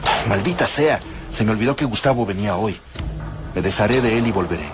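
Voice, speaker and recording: Man doing a low-voiced, tense young vampire, curt and protective, music underneath. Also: expressive, brisk, close to an everyday voice.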